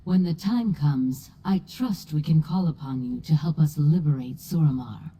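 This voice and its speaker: Casting: grave elven rebellion leader for a fantasy game, low female register, very dark, mellow tone. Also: normal speed, slightly rough. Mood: calm, determined.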